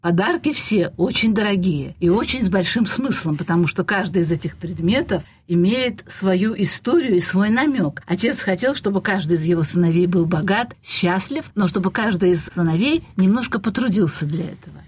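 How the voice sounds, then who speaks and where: A woman co-hosting a talk, retelling and explaining a fairy tale in an expressive conversational way, posing rhetorical questions. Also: medium pitch, normal speed, hardly any pauses, slight background noise. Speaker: co-host telling and discussing a fairy tale. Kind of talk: conversational storytelling commentary.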